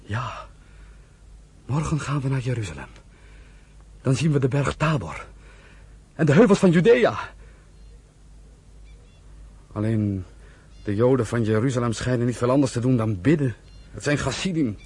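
Husky, impassioned young migrant. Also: male voice, mid-range voice, lively intonation, moderate pace, pauses often, a little mellow, dry recording. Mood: doubtful. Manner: impassioned, exclaiming. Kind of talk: Dutch radio play acting.

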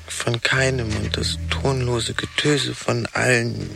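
An actor playing a bitter, dreamy male spirit in a radio play, talking in a halting, murmuring monologue that keeps repeating itself. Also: medium pitch, level tone, vocal fry. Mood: brooding. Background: music.

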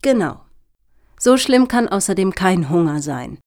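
An actress playing a touchy, dramatic applicant in a satirical radio play, with a prickly, tart manner. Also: female voice, mid-range voice, animated, measured pace, a little mellow, dry recording, studio quality. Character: touchy, dramatic female applicant, easily affronted.

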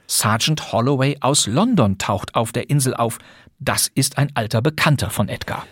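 A man's voice: mid-range voice, normal speed, fairly bright tone, slightly rough, dry recording. A man hosting a podcast, presenting classic radio plays from a script in a chatty, wry way. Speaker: podcast host.

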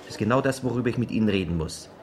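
An actor playing a flustered, secretive police president in a radio crime comedy, giving curt, urgent orders. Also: male voice, on the low side, expressive, very fast, lightly acted. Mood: flustered, impatient.

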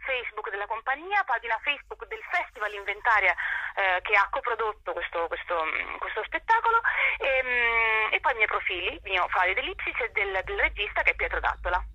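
A woman's voice: medium pitch, sing-song, fairly quick, bright, forceful tone, breathy edge, no room sound, poor audio. A woman in a conversational interview, explaining as an actor and often breaking into chuckles. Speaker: actor interviewed about a stage show.

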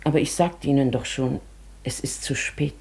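A woman playing a dignified, wistful hotel guest in a German radio drama, with a soft, often breathy voice and a formal, measured manner. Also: rumbling low, whispered. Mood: resolute, calm. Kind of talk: German radio play acting.

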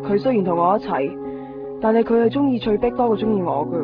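A woman's voice: medium pitch, expressive, fast talker, close to an everyday voice. An actress playing a wistful, observant young woman in a radio drama, with a Hong Kong Cantonese accent. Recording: muffled radio broadcast over music.

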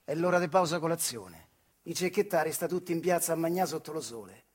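Casting: retired factory worker, earthy storyteller, Marche accent, raspy and fairly high-voiced, stage monologue. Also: male voice, animated, a little fast. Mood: eager, nostalgic. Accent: central Italian, Marche. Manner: earthy, colloquial, acts out dialogue. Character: retired Italian factory worker recalling his wartime boyhood. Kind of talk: theatrical monologue storytelling in dialect-tinged speech.

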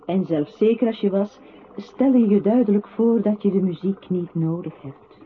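A woman acting a composed, coolly analytical narrating voice in a literary radio play, speaking deliberately. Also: medium pitch, a little hiss or hum, lightly acted. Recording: tinny band-limited radio drama, music behind.

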